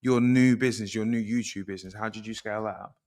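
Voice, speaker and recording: A man's voice: on the low side, fairly even intonation, fast talker, darkish tone, big-room sound. A man with a British accent, interviewing in a casual, chatty way and often rephrasing his questions.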